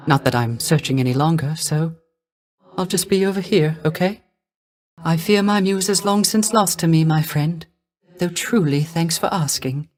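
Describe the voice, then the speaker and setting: An actor playing a gentle, melancholy young court musician's ghost in a video game, soft-spoken, courteous and hesitant, with a light, airy voice. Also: male voice, high-pitched, close to an everyday voice. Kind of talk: video game character voice acting.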